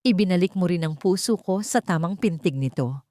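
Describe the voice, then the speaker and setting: A woman's voice: fairly low pitch, fairly quick, mellow, no echo, clean studio sound, close to an everyday voice. A woman voicing a tender, grateful father in a storybook drama. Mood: tender gratitude.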